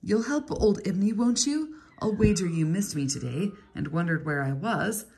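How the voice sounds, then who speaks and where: A woman's voice: medium pitch, lively intonation, moderate pace, gravelly, darkish tone, breathy edge, pitched above the performer's own voice. Woman putting on a fawning old Babylonian man. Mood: wheedling. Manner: wheedling, obsequious. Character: fawning whining old male Babylonian servant.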